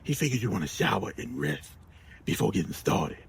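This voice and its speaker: Gravelly, deferential gangster's driver. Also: male voice, fairly low pitch, sing-song, brisk, heavily acted. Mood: hesitant, polite. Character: deferential, nervous gangland underling and driver, loyal to his boss. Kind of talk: audio drama acting.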